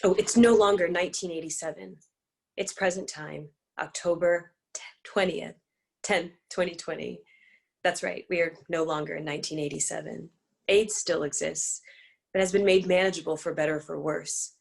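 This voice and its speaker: Woman narrator, lowish, creaky, measured, dramatic scripted storytelling. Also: fairly even intonation.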